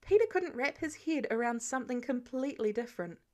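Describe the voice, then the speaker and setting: A woman's voice: on the high side, animated, brisk, a little mellow. A woman narrating fiction as a scripted audiobook reading.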